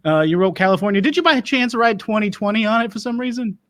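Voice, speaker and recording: A man's voice: high voice, fast, fairly bright tone. Folksy male comedy host. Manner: folksy, hesitant, drawling with many uhs. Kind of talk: improvised comedy interview.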